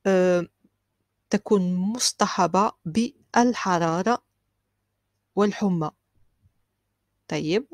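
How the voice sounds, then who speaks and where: A woman teaching a language lesson. She repeats each phrase slowly and clearly, then explains it quickly. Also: lowish voice, a little mellow, no room sound, clean studio sound. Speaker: French teacher.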